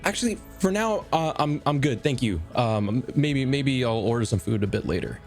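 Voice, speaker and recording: A man's voice: medium pitch, animated, gravelly. Hesitant male player, music faintly behind. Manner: hesitant, halting. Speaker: roleplaying game player. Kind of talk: improvised in-character tabletop roleplay.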